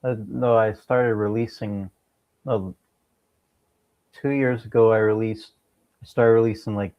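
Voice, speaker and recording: Male podcast guest: fairly low voice, halting and sparing with words, casual, slightly dull recording. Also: steady tone, clear, resonant tone. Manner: laconic, halting. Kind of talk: casual chat.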